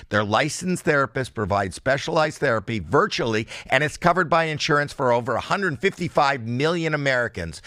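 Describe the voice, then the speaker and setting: A man's voice: medium pitch, a bit bright, dry recording, clean studio sound. A man reading a scripted advertisement in direct address to the listener. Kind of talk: scripted ad read.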